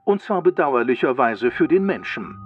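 A man's voice: medium pitch, expressive, moderate pace, faint music behind, close to an everyday voice. A man playing a dry, grave scientific ghost expert, clinical and formal, in a muffled mock-documentary recording. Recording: muffled, radio-like narrow band.

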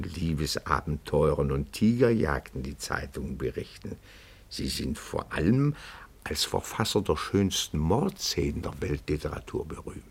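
An actor playing a timid yet nosy, pedantic petit-bourgeois detective in a radio play, speaking in a meticulous, florid, deferential way. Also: male voice, low voice, vocal fry, husky, heavily acted. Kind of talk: German radio play dialogue.

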